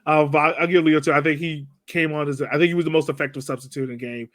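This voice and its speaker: A man's voice: fairly high pitch, steady tone, rapid-fire, a bit bright, no room sound. A man co-hosting a casual sports podcast, chatting about a game in a playful, joking way. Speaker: podcast co-host.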